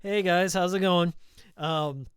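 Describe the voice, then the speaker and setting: A man chatting casually while live-streaming a game, a little hoarse after hours of talking, rambling and reading out viewer names. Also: high voice, slow pace, a bit bright, dry recording, studio quality. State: slightly hoarse from a long stream.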